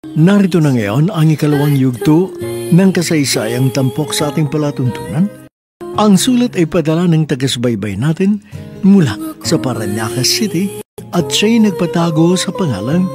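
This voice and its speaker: A male radio drama host with a fairly high voice. He narrates in a measured, sentimental way, reading from a script. Music plays clearly underneath. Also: very animated.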